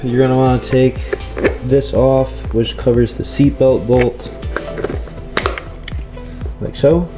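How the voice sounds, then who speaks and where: A man's voice: mid-range voice, level tone, takes it slowly, long pauses, mellow, soft background music. A man hosting a DIY car tutorial, giving plain, informal step-by-step instructions in a casual how-to style.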